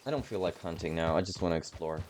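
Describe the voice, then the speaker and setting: A man's voice: low-pitched, fast, background noise. A man narrating a game playthrough, switching between casual commentary and reading in-game text aloud. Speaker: gamer narrating own playthrough.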